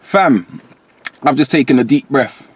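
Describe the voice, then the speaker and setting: A man with a London accent, a rapper guest in a candid interview, telling stories in an animated way with London slang. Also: measured pace, slightly rough, no room sound. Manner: lively, rising pitch when quoting himself.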